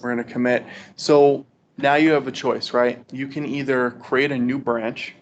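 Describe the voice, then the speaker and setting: A man's voice: mid-range voice, flat delivery, moderate pace. A man presenting an informal technical walkthrough to a study group, conversational and often checking in with "right?". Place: group meetup with video conference call. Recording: dull, lo-fi audio with background noise.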